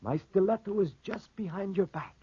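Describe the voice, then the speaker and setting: An actor playing a menacing fugitive who makes quiet threats in a 1940s radio drama. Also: male voice, normal speed, slight background noise, close to an everyday voice. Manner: low-key, threatening. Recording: muffled 1940s radio recording.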